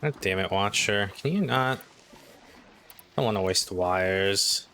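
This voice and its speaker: A man's voice: lowish voice, measured pace, sharp tone, a little hiss or hum. A man doing casual gameplay commentary as a streamer, muttering offhand and swearing to himself. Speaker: game streamer. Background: video game music.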